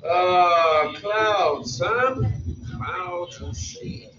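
An actor playing a rattled, wry RAF pilot with a British accent in a Cold War audio drama, talking in exclamations and radio procedure. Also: male voice, high-pitched, animated, extremely slow, reverberant, background noise, exaggerated voice. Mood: wry, cheerful. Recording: dull, distant playback over room speakers with music.

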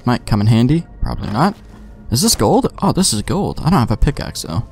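A man's voice: medium pitch, big pitch swings, a little fast, creaky, darkish tone, breathy edge, faint music behind. A young man giving offhand, slangy commentary as he plays a video game, talking to himself. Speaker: gamer, let's play host.

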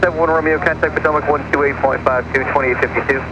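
A man's voice: a little high, fairly even intonation, very fast. A man working as an air traffic controller gives clipped instructions over aircraft radio. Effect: aircraft radio transmission. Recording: muffled, noisy aircraft radio. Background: aircraft cabin noise.

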